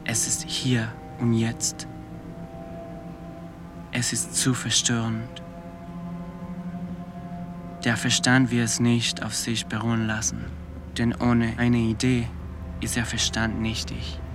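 A man reciting a scripted radio-play monologue in measured, declarative statements. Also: mid-range voice, completely flat delivery, music in the background, natural voice.

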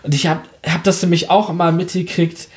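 A man with a fairly high voice talks as a radio interviewer and journalist. He is conversational and colloquial, putting his opinions plainly. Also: level tone, brisk.

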